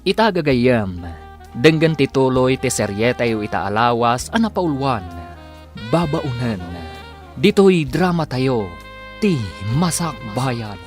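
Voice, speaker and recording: A man with a mid-pitched voice announces and narrates an Ilocano radio drama. His delivery is scripted and theatrical, stretching out titles over a music bed. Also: lively intonation, takes it slowly.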